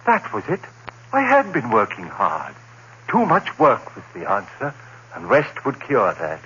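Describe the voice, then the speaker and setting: An actor playing a troubled, haunted man in an old-time radio horror drama, with a brooding, halting delivery. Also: mid-range voice, pushed and bright. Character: troubled, haunted man narrating his own descent into dread. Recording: dull old radio recording with hiss and hum.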